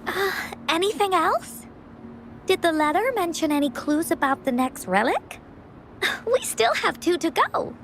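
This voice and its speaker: A woman voicing an excitable little girl adventurer in a video game, eager, childlike and theatrical. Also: very high-pitched, measured pace, slight background noise, heavily acted. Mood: curious. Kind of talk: video game character dialogue. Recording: music behind the voice. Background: game music.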